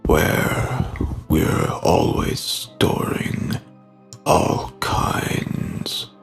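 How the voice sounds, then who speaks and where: A man voicing a ghoulish undead horror host in a breathy, rasping half-whisper, slow and theatrical, relishing the macabre. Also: very deep voice, a bit bright, full character voice. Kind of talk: horror anthology host sign-off.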